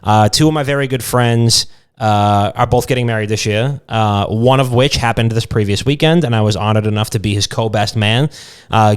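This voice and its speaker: A man's voice: mid-range voice, smooth. A young man co-hosting a podcast, telling casual, jokey stories with plenty of swearing. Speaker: podcast co-host. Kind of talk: casual comedic podcast banter and storytelling.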